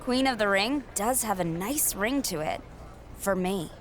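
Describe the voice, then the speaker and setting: A woman's voice: medium pitch, lively intonation, a little slow, slight background noise, close to an everyday voice. A woman playing an ambitious, self-assured female wrestler in a video game cutscene, with a dry, cocky manner. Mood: defiant.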